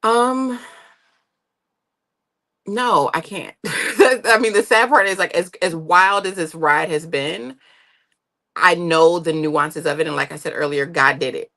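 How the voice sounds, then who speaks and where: A woman's voice: fairly low pitch, takes it slowly, long pauses, pushed and bright. A woman talking casually and candidly as a panelist about her own experience.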